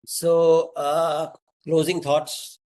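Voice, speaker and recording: A man's voice: a little high, little pitch movement, drawn-out words, darkish tone, poor audio. A man hosting a podcast interview, asking prepared questions and agreeing briefly. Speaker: podcast host.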